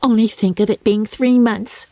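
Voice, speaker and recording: A woman's voice: fairly high pitch, fairly even intonation, normal speed, mellow, no room sound, pitched above the performer's own voice, lightly acted. A woman voicing a giddy, boastful young newlywed who gushes in self-satisfied exclamations, in a storybook reading. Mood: giddy, chattering. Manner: gushing, breathless exclamations, giggly.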